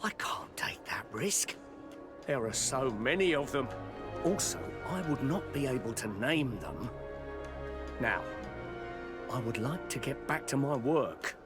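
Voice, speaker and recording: A man's voice: medium pitch, expressive, measured pace, long pauses, natural voice. A man playing a courteous, fearful shopkeeper in a video game, hesitant and guarded as he talks. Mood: nervous, guarded. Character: fearful, courteous male shopkeeper under threat. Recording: music behind the voice.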